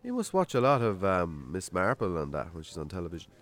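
An actor with an Irish accent playing a gruff, shy, wry single farmer in a naturalistic radio drama. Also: male voice, sits low, huge pitch swings, brisk, a bit bright, heavily acted. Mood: shy, friendly.

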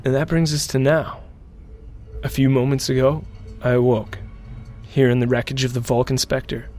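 An actor playing a wounded, haunted giant-robot pilot in a dramatic audio drama, with a deliberate, brooding delivery. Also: male voice, mid-range voice, level tone, darkish tone, faint music behind, close to an everyday voice. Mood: grim, despairing, then weary, hopeful. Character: wounded male giant-robot pilot, haunted, recounting horrors, then terrified. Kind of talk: solemn slow dramatic narration, audio drama.

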